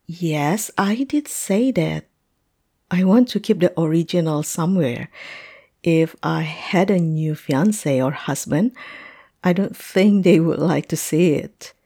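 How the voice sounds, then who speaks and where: A woman acting a hesitant, polite, heartbroken client in a fantasy roleplay audio drama, speaking haltingly. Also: lowish voice, dark tone. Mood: puzzled, hesitant.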